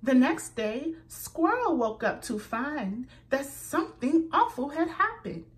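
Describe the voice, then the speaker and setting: A woman reading a children's picture book aloud, slow and expressive, pointing out letter sounds for young listeners. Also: sits high. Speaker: children's story reader.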